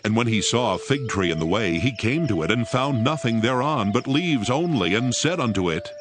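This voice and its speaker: A man's voice: mid-range voice, animated, normal speed. A man narrating scripture from a script in a formal, dramatic storytelling manner. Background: prominent background music.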